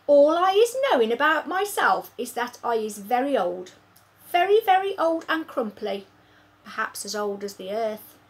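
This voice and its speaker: A woman's voice: on the high side, sing-song, normal speed, pitched above the performer's own voice. A woman voicing a kindly, very old male giant who mangles his grammar and invents words. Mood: sad.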